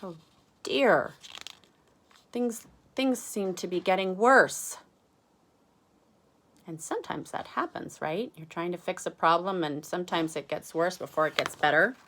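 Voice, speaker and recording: A woman's voice: on the low side, measured pace, pauses often, a bit bright. A woman reading a picture book aloud to young listeners, adding chatty asides, questions and exclamations. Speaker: read-aloud storyteller.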